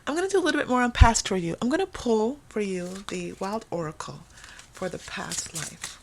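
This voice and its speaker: A woman's voice: medium pitch, lively intonation, normal speed, a little hiss or hum, poor audio. A woman giving a casual, intuitive tarot reading to viewers, thinking aloud conversationally and repeating phrases for emphasis. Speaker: tarot reader and host.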